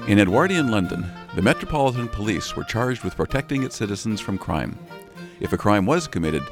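A man serves as announcer for a radio drama, reading scripted narration in a slightly deep voice. Clear violin music plays behind him.

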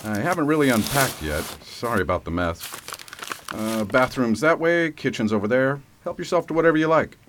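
A man's voice: medium pitch, lively intonation, moderate pace, a bit bright, a little hiss or hum, close to an everyday voice. A man playing a kindly, self-deprecating sheriff in an audio drama, with a wry, sarcastic way of talking. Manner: wry, sarcastic, mumbled asides.

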